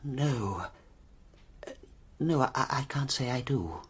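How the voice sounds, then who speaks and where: An actor playing a nervous, down-on-his-luck war veteran in a radio play, hesitant and plaintive, with a husky, breathy voice. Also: mid-range voice, expressive, slow pace, exaggerated voice. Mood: wary, subdued.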